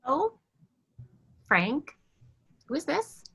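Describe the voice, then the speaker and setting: A woman's voice: sits high, big pitch swings, very slow, vocal fry, fairly bright tone, heavily acted. A woman in a staged comedy reading playing an assertive office worker with a pointed, challenging manner. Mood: curious.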